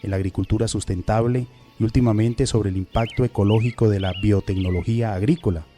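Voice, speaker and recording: A Colombian man hosting a radio interview in a slightly low, mellow voice. His phrasing is formal and a little flowery, and there is music behind him. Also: steady tone, fairly quick.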